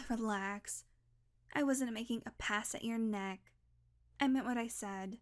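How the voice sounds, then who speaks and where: A sardonic female vampire with a fairly high voice, played by a woman, talking one-to-one in an audio roleplay. She is centuries old but banters casually, swearing and teasing while grudgingly caring for her listener. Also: slow pace. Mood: teasing, sultry.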